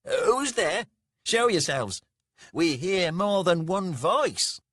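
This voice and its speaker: A man voicing a hostile dwarf guard in a fantasy audiobook, calling out loud challenges. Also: high voice, big pitch swings, takes it slowly, no echo, clean studio sound, voice pushed up, full character voice. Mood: challenging, suspicious.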